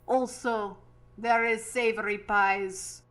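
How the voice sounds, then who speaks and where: A woman playing a solemn, long-winded Cossack storyteller with a ponderous, drawn-out delivery in a comic audio drama. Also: fairly high pitch, steady tone, fairly bright tone. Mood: solemn, wistful.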